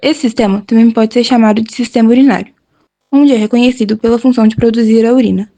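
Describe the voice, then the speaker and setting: Brazilian woman student reading interview questions, mellow voice. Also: on the high side, steady tone, fast. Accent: Brazilian Portuguese. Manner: reads from a script, plain and careful. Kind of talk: read-out questions and presentation.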